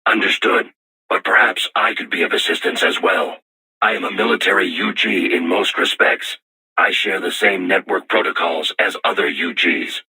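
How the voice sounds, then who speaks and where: Very deep, robotic war machine. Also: male voice, fairly even intonation, pushed and bright, breathy edge, full character voice, poor audio. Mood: solemn, formal. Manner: formal, measured, stilted machine-like diction. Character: solemn self-aware robot war machine, young but erudite. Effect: robotic voice processing. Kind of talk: scripted video game voice acting.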